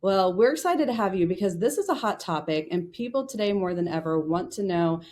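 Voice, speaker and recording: This is a woman with a slightly low voice. She hosts a podcast and interviews a guest. She asks questions in a relaxed, chatty way and often says "you know.". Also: brisk. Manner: conversational, many "you know" fillers. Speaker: podcast host dermatologist.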